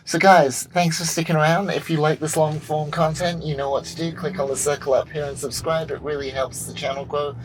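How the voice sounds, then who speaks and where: A man's voice: on the high side, flat delivery, a little fast, hardly any pauses, rough recording. Upbeat man vlogging over background noise. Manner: upbeat, repetitive, enthusiastic. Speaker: host, film director. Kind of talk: casual vlog chat. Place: out and about after packing up car.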